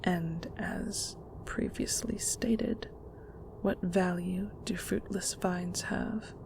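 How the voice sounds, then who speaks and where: A woman playing a stern, manipulative mother in an audio drama, condescending and deliberate, with a creaky, often hushed voice. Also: on the low side, steady tone, in a whisper, exaggerated voice, rough recording. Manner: slow, deliberate, condescending, with terms of endearment. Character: stern, manipulative mother of a secret magical family. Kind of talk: scripted audio roleplay drama.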